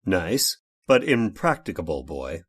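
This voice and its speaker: A man's voice: deep voice, big pitch swings, unhurried, breathy edge, exaggerated voice. A man playing a fussy, evasive, hesitant workshop owner recounting a crime in an old-time radio horror drama. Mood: amused, gruff.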